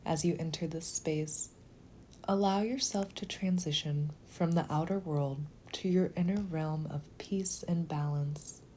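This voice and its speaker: A woman leading a guided meditation in a slow, gentle, soothing manner, with phrases sometimes softening toward a whisper. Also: low-pitched, steady tone, a little hiss or hum.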